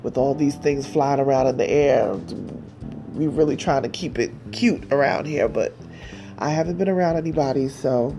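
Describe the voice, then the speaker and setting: A woman's voice: very deep voice, warm tone. A woman hosting her solo podcast, chatting casually with a stuffy nose and lots of fillers. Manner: chatty, rambling with many fillers. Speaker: podcast host.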